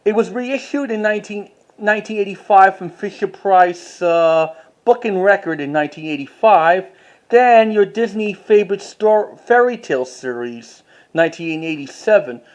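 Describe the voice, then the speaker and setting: A collector giving a casual show-and-tell commentary, speaking haltingly with repeats and self-corrections. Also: medium pitch, highs cut off.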